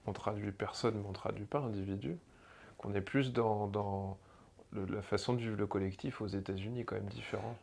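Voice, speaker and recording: A male interviewer with a somewhat low voice asks his guest long questions. He searches for words and restarts often as he builds each one. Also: fairly even intonation, moderate pace, gravelly, darkish tone.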